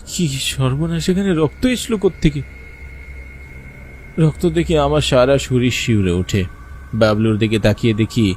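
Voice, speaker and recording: A man's voice: mid-range voice, a little mellow, a little hiss or hum, lightly acted. A man playing a frightened village fisherman, narrating a cartoon horror story in the first person with suspense and anxious urgency. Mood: alarmed, urgent.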